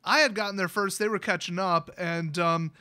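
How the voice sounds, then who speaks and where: A man's voice: high voice, fairly even intonation, moderate pace, bright, forceful tone. An American man telling stories in a casual, ranting style with a sarcastic, exasperated manner. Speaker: YouTube storyteller and gamer.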